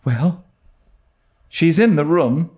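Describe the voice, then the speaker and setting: Man doing an excitable high-voiced little girl. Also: slow. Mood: alarmed. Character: excitable, loyal, gossipy young girl. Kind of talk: dramatised audiobook reading of a classic novel.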